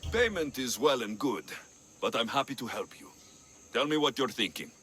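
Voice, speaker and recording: An actor playing a wry, steady young mercenary in a video game cutscene, speaking. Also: male voice, medium pitch, normal speed, close to an everyday voice. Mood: businesslike. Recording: music bed behind the voice.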